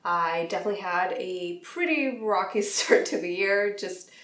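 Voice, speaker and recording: A young woman vlogging casually about beauty, chatty and laughing mid-sentence, her voice a little nasal and raspy from a recent illness. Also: fairly low pitch, moderate pace, fairly bright tone, echoey room. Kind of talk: casual talk to camera.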